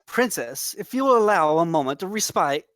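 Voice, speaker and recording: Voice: medium pitch, big pitch swings, normal speed, fairly bright tone, no echo, clean studio sound. An actor in a comedic script read playing a courteous, long-suffering janitor who serves a princess, speaking in a polite, stiffly formal way. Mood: placating.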